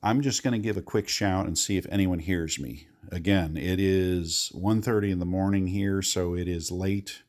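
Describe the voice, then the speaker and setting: A man's voice: low-pitched, moderate pace, hardly any pauses, darkish tone. An American man giving a casual how-to walkthrough of amateur radio gear, explaining with repeated reminders.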